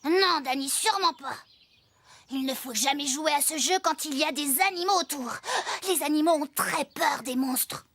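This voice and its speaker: A woman's voice: sits high, normal speed, fairly bright tone. A woman voicing a timid, weary little girl motorbike who acts proud but scares easily, in a children's cartoon dub. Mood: firm.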